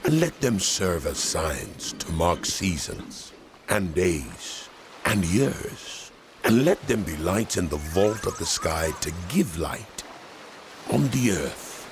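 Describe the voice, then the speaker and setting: A majestic male God, acted by a man, deep and commanding, creating the world. He speaks in slow, solemn decrees with wide swings of pitch over music, as in a dramatized scripture production. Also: big pitch swings, slight background noise, full character voice.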